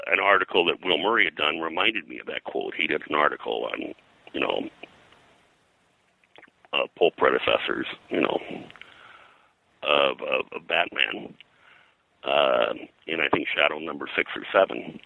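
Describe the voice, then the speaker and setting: An older man speaking as an interview guest, reminiscing in a halting, digressive way and often clearing his throat. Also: rumbling low, animated, pushed and bright, dry recording, poor audio. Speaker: guest, pulp and comics historian. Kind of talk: interview conversation, reminiscing about his work.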